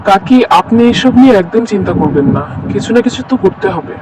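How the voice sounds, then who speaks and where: A man's voice: sits high, lively intonation, quick pace, distant mic, noisy background. A man voicing a scheming, glib village man who feigns helpfulness in a cartoon story. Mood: falsely reassuring.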